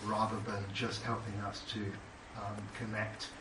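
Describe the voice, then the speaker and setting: A British man on a panel who talks haltingly, with lots of fillers and restarts. His voice is mid-pitched and sits far off in an echoey room, with clear background noise. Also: monotone. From Britain. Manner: hesitant, many fillers and restarts. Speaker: panelist, entrepreneur. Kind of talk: panel discussion remarks.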